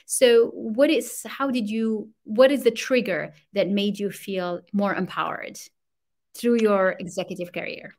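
A woman's voice: mid-range voice, steady tone, a little slow. A woman hosting a live online interview series, speaking in an effusive, welcoming manner.

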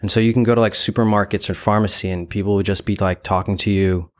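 Smooth, even-toned man, halting and reflective. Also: lowish voice. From Brooklyn, New York; born in Ukraine. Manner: halting, reflective, heavy use of "like" and "you know". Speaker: interview guest, gallery founder. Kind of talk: casual conversational interview.

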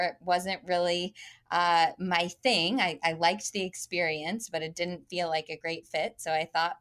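A woman's voice: on the low side, fairly bright tone. A woman from Connecticut in her thirties, a guest in a casual interview, talking in an upbeat way and laughing easily.

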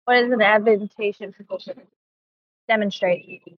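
A woman's voice: mid-range voice, fairly even intonation, moderate pace, no echo. A woman chatting casually as a player in a tabletop game, recorded in dull lo-fi sound.

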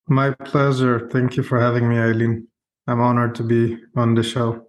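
A man's voice: medium pitch, monotone, moderate pace, rough recording. A man with an Israeli accent telling his story conversationally as a podcast guest. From Israel, born in Ukraine. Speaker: podcast guest, real estate investor.

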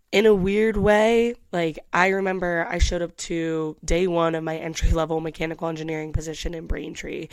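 A woman's voice: fairly low pitch, no room sound. A woman in her twenties chatting candidly to the camera, with lots of restarts and asides. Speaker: vlogger. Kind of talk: casual storytelling to camera.

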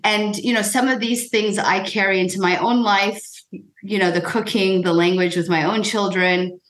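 An American woman giving reflective, thoughtful interview answers in conversation, phrased in measured pieces. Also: medium pitch, fairly even intonation. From United States. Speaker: podcast guest, physician. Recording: echoey, roomy sound.

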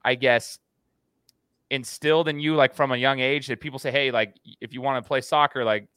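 An American man hosts an interview podcast in a bright, punchy voice. He sits at a middle pitch and sounds close and dry, with no room around him. His way of talking is informal and chatty, like one buddy to another. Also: fairly even intonation, moderate pace, clear, resonant tone, no echo. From United States. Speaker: podcast host. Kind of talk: casual, chatty interview hosting.

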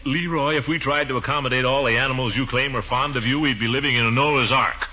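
An actor playing a fussy, exasperated middle-aged uncle, pompous and blustery, in 1940s radio comedy style. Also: male voice, mid-range voice, quick pace, natural voice. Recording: dull, noisy 1940s radio recording.

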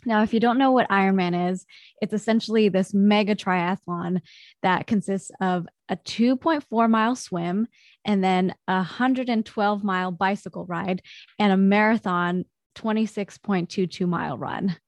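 A woman's voice: mid-range voice, normal speed, no room sound. A young woman hosting a podcast interview, chatty and upbeat. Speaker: podcast host.

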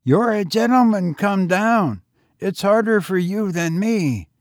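A man doing a husky, frail teenage tramp. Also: sits high, expressive, slow pace, no room sound, clean studio sound, voice pushed up. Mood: pleading. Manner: eager, talkative, fatalistic. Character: frail teenage boy tramp, coughing and hoarse.

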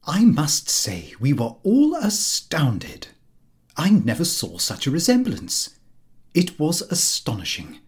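A man narrating a comic diary in the character of a prim, put-upon, pompous middle-class gentleman. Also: on the high side, wildly swooping pitch, slow pace. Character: fussy, easily affronted middle-class Victorian gentleman diarist. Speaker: audiobook reader.

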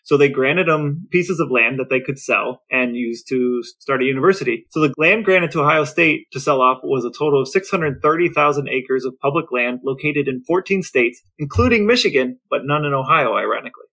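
A man's voice: mid-range voice, expressive, a little fast, few pauses, bright, forceful tone, distant mic. A man co-hosting a casual podcast, explaining things and asking questions. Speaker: podcast co-host, interviewer.

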